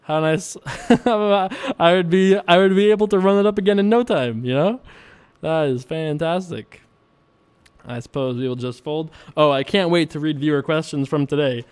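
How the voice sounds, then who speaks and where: A man's voice: on the high side, darkish tone, smooth. Jokey, chuckling young man commentating poker. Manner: jokey, chuckling, self-amused, drawn-out mock pondering. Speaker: poker vlogger. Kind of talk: casual playful commentary over online poker.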